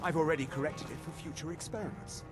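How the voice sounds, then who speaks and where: A plain-spoken townsman from a fantasy video game, voiced by a male actor. His voice is a little high, and music plays clearly behind him. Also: fast, natural voice. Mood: matter-of-fact. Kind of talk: video game character dialogue.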